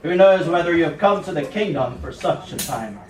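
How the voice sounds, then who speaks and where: A man's voice: on the high side, expressive, normal speed, a little mellow, lightly acted. A man playing a stern, urgent biblical guardian in an amateur puppet show, with emphatic scripted delivery. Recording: echoey room, distant mic, clattering noise.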